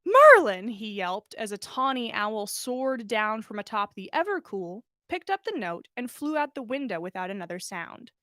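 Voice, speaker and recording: A woman narrating fiction aloud as a podcast host, animated and expressive in the way she tells it. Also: medium pitch, normal speed, few pauses, no echo, clean studio sound. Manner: animated storyteller, acts out dialogue. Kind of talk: expressive story narration, livestream read-aloud.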